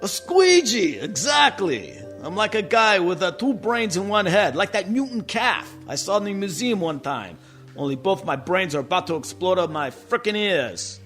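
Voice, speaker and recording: Man playing a high-pitched, frazzled mob boss. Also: big pitch swings, moderate pace, a bit bright, faint music behind. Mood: anxious, rambling. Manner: whiny, rambling, tough-guy slang. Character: neurotic, exasperated middle-aged mob boss and father. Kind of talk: comic radio play acting.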